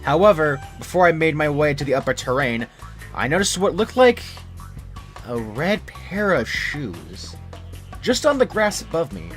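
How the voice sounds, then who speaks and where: A man's voice: fairly high pitch, very animated, a little slow. A man reading a scripted first-person creepypasta story as its narrator. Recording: music under the narration.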